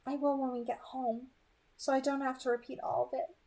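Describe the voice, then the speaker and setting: A woman's voice: sits high, level tone, brisk, mellow. A woman reading aloud the part of a tender, devoted young female vampire, with an affectionate, emphatic manner. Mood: frank, amazed. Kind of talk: amateur audiobook reading.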